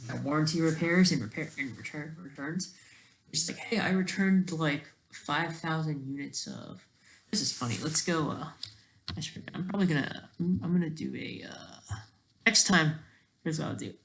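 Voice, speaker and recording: A person thinking aloud in casual, rambling, digressive commentary as a video creator, with a creaky voice. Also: mid-range voice, animated, moderate pace, a bit bright, slight background noise.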